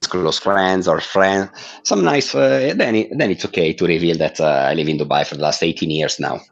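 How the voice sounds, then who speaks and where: Low-voiced Italian-accented man. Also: normal speed, vocal fry, muffled recording. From Italy. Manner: hesitant, many uh fillers, laughs while talking. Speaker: guest. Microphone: video call.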